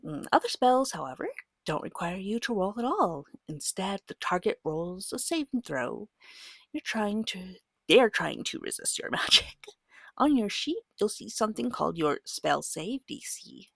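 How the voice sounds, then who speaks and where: Woman, soft breathy ASMR voice, slipping into whispers, slow and gentle, close dry sound, reassuring game master. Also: medium pitch, big pitch swings, no room sound. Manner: gentle, patient, reassuring, unhurried. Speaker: game master guiding a beginner player. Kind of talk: soft-spoken ASMR roleplay tutorial.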